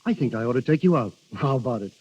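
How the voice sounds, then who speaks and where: A man's voice: mid-range voice, lively intonation, fast talker, a little mellow, breathy edge. An actor in a 1930s radio advert playing an adoring young husband who sweet-talks his wife. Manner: sweet-talking. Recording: dull vintage 1930s radio recording.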